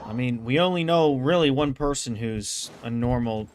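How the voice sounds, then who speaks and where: A young man streaming a game, reacting with excitable, slangy jokes and quick asides. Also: mid-range voice, background noise. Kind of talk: casual reaction commentary.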